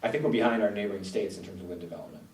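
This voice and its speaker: A man argues his policy points earnestly and with emphasis. His voice is low and mellow. He is heard from off-mic, with room echo and faint noise behind him. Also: rapid-fire, far from the mic. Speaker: panel guest. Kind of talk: policy discussion. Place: TV studio.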